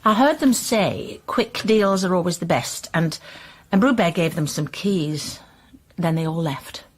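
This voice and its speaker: A woman's voice: fairly low pitch, expressive, a little slow. A woman acting a guarded, scornful, reluctant informant with clipped delivery in a radio crime drama. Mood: guarded disdain. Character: resentful, disdainful woman, reluctant police informant.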